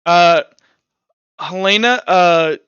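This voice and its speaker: A man with a fairly high, bright voice runs a tabletop game as its game master. He talks casually through narration and rules with crisp clarity. The sound is close and dry, slightly dulled as in online voice chat. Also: very slow, dry recording, muffled recording. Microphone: headset.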